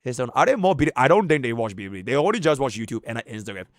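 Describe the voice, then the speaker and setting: A man from Singapore, live-streaming casual chat with his viewers, excitable and slangy, swinging between hyped outbursts and low mumbles. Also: very fast, no room sound, studio quality.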